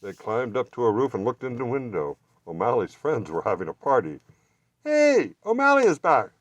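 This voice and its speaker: A man reading a children's storybook aloud with expressive, deliberate narration. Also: lowish voice, no echo. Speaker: children's bookstore reader.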